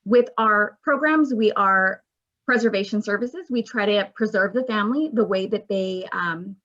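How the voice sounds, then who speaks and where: A woman's voice: medium pitch, moderate pace, fairly bright tone. A woman giving an informal presentation as an outreach director over a video call with dull, lo-fi sound.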